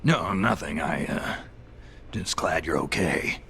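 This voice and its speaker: An actor with an American accent playing a gruff, hardened soldier in a video game, curt and deadpan, with a low growl. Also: male voice, slow pace, slight background noise, heavily acted. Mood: low, guarded. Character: gruff, terse, hardened male soldier on a solo mission. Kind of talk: dramatic video game voice acting.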